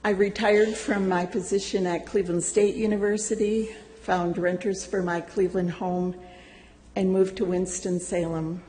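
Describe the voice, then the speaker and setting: An older woman reading a prepared personal testimony to a church congregation, slow and deliberate with gentle humor. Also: medium pitch, little pitch movement, big-room sound, rough recording. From United States. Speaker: church member giving a testimony. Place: church sanctuary.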